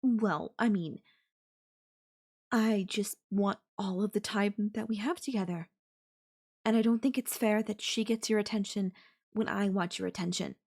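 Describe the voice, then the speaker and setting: A woman playing a clingy, possessive girlfriend who feigns sweet innocence in an audio roleplay, speaking coyly and hesitantly with hums and pauses. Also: medium pitch, steady tone, darkish tone. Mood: jealous, pouting. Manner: hesitant, drawn-out, coy delivery with hums and pauses. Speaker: voice actor. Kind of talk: girlfriend audio roleplay, one side of a call.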